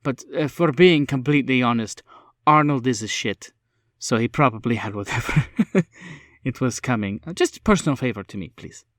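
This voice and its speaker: A man's voice: mid-range voice, expressive, moderate pace, fairly bright tone, no echo, lightly acted. Woman professor for a tabletop game, played by a man: composed, persuasive, polite, frank, dry sound.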